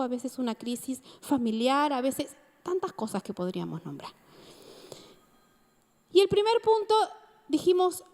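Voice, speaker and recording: An Argentine woman with a faintly Mexican lilt preaches a sermon in a high, bright voice. She keeps drawing in her listeners with questions. The sound rings in a reverberant hall. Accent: Argentine Spanish with a touch of Mexican. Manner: engaging, asks the congregation questions.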